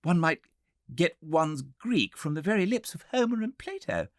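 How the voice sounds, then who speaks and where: A high-pitched, eager very young man. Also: big pitch swings, normal speed, dry recording, studio quality. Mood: excited. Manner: breathlessly enthusiastic. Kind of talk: audiobook character reading.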